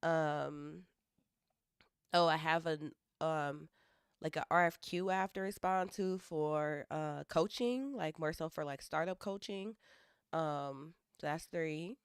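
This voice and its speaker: A young woman with a low, hoarse voice talks on a live stream. She rambles and stops herself mid-thought while she plans her day. Her voice is smooth and close, with a dry, dead sound. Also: slow pace, no room sound. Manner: rambling, self-interrupting, thinking aloud. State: hoarse from allergies. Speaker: livestreaming developer. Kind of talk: casual live-stream chat.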